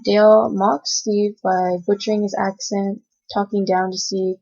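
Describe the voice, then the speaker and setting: A woman's voice: medium pitch, flat delivery, measured pace, a little mellow. A young woman from the United States who grew up speaking Cantonese first, giving a class oral presentation and reading from notes with frequent hesitations. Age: young adult. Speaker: student presenter. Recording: dull lo-fi recording.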